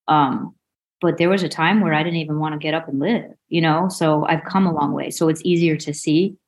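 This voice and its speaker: Frank low-voiced woman of forty. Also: steady tone, fairly quick. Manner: frank, informal, sweary. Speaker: mindset coach, interview guest. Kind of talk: casual interview chat.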